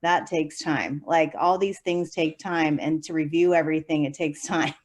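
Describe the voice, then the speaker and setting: A woman's voice: deep voice, fairly even intonation, normal speed. A young adult woman, a teacher, answering interview questions in a chatty way with many fillers and light laughs. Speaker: special education preschool teacher.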